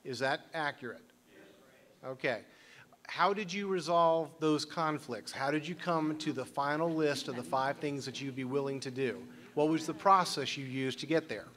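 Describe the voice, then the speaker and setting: A man's voice: on the high side, moderate pace, fairly bright tone. A man facilitating a workshop discussion, asking questions and inviting the room to respond. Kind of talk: leading a group discussion. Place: conference room.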